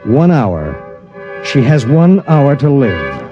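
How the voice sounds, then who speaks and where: An actor playing a regretful male spaceship pilot in a 1950s radio drama, speaking and narrating in a solemn, deliberate way. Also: mid-range voice, sing-song, lo-fi sound, over music. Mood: somber narration.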